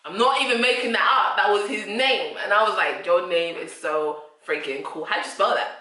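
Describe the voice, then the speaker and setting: British woman, bright voice in echoey room. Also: mid-range voice, normal speed. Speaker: vlog co-host. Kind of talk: casual chat. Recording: roomy echo, distant microphone.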